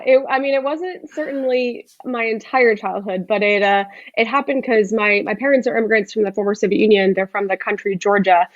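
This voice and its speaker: A woman in her thirties, a startup co-founder, giving clear, explanatory answers in a conversational interview. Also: mid-range voice, no room sound, muffled recording. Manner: articulate, explanatory, frequent "you know".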